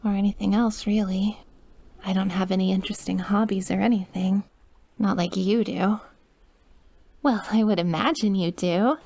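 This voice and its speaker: A woman playing a sweet, awkward, wistful young woman who is dying and quietly obsessive, in a soft, hesitant, intimate ASMR roleplay. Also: medium pitch, fairly even intonation, moderate pace, dry recording, close to an everyday voice. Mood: shy, nervous. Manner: soft, hesitant, gushing, at times dropping to breathy murmurs. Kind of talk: ASMR audio roleplay, intimate one-sided dialogue.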